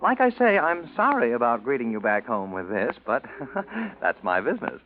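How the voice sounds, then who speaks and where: In tinny old radio sound, a male actor plays a smooth-talking male lawyer out to collect. His pitch jumps about as he wheedles and apologizes insincerely, in 1940s radio acting style. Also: sing-song, moderate pace, faint music behind, lightly acted. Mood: pointed. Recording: band-limited old 1940s radio recording.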